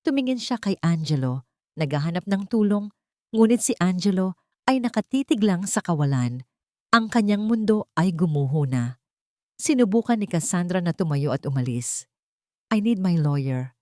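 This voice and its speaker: Female storyteller, lower register, solemn and deliberate, dry close studio sound. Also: big pitch swings, darkish tone, no room sound. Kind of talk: dramatic scripted story narration.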